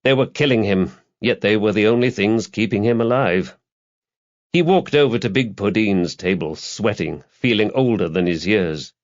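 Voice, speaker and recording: A man's voice: lowish voice, normal speed, dull audio. A man reading a crime novel aloud as an audiobook narrator, in a scripted literary style.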